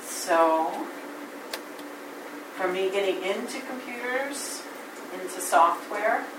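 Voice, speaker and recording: A woman's voice: mid-range voice, expressive, slow. A woman giving an informal career talk to a class in an encouraging, conversational way, often repeating her phrases. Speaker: guest speaker giving career advice to students. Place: indoor room with an audience. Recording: echoey room, distant mic, background noise.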